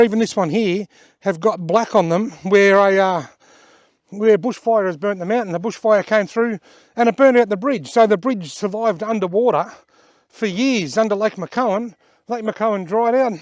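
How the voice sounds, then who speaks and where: An Australian man with a slightly hoarse voice, chatting plainly as he gives a casual walking tour outdoors at a wetland and points things out along the way. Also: high voice, no room sound. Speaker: outdoor vlogger giving a tour. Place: outdoors at wetlands by a creek and car park.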